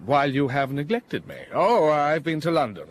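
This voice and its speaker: An actor playing a brooding, teasing master of a manor in a radio drama. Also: male voice, a little high, expressive, normal speed. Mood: playful. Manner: teasing, mock-reproachful.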